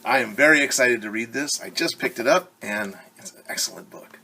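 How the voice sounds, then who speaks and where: A man teacher reading a picture book aloud to children, animated and stopping to explain words and ask questions. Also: mid-range voice, a little fast, creaky, slight background noise. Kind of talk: children's story read-aloud.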